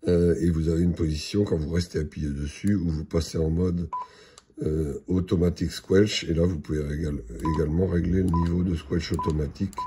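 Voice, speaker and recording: A man giving an unscripted product demonstration in a hesitant, halting, matter-of-fact way. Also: low-pitched, noisy background. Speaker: product presenter.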